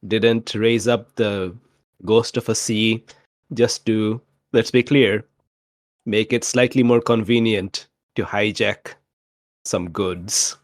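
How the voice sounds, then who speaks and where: A man's voice: on the low side, fairly even intonation, slow pace, dry recording. A man casually recapping a tabletop role-playing session as a player, with dry, mock-exasperated asides.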